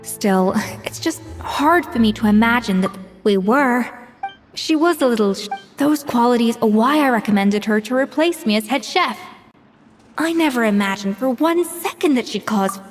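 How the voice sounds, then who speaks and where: A determined young woman from a fantasy game played by an actress. Her voice is on the high side and earnest, with faint music behind and a long reverb. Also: animated, moderate pace, natural voice. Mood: troubled. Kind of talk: video game dialogue acting. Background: soft game music.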